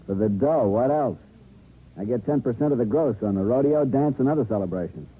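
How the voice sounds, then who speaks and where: A man's voice: mid-range voice, expressive, fairly quick, natural voice. An American man playing a brash, slangy, money-minded promoter in an old-time radio drama. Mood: matter-of-fact. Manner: slangy, breezy. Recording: vintage narrow-band radio, faint noise.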